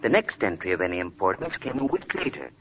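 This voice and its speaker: An actor playing a worried, earnest hospital doctor in 1940s radio drama, speaking with dramatic urgency. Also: male voice, lowish voice, big pitch swings, fast talker. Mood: grave, secretive. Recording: muffled, faintly noisy old radio recording.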